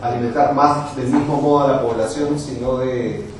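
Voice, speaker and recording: A man from Brazil whose first language is Portuguese, answering questions as an author in a brisk, explanatory way. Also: medium pitch, steady tone. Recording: distant voice in a very echoey hall, faint noise.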